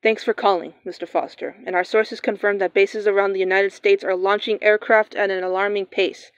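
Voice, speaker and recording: A woman playing a radio newscaster, reading breaking news in a steady, formal manner. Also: medium pitch, fairly quick, clean tone, dry recording, natural voice. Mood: urgent. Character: composed female radio newscaster. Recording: dull lo-fi sound.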